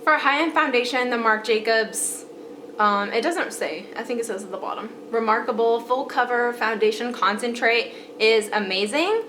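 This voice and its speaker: A woman's voice: fairly high pitch, normal speed, bright, forceful tone, a little hiss or hum. A young woman with a stuffy, congested voice chatting casually through her favorite makeup products, with lots of fillers and repeated praise. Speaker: beauty vlogger.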